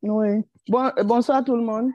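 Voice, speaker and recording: A woman's voice: fairly high pitch, fairly even intonation, normal speed, warm tone, no room sound, dull audio. A woman from Burkina Faso, introducing herself politely and hesitantly on a video call. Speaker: workshop participant.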